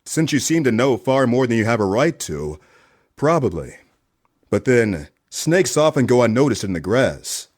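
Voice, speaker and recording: Guy doing a wordy hardboiled detective for a comedy radio play, husky and very animated. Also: medium pitch, normal speed. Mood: suspicious. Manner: theatrical, hardboiled first-person narration and probing questions. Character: dogged, wordy male private detective with corny wordplay.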